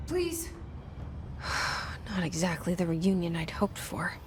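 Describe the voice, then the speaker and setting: A woman's voice: fairly low pitch, very animated, slow, music in the background, full character voice. An actress with an American accent playing a determined young woman trying to save her brother in a game cutscene, blunt, wry and restrained. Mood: wry, guarded. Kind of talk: video game cutscene acting, naturalistic.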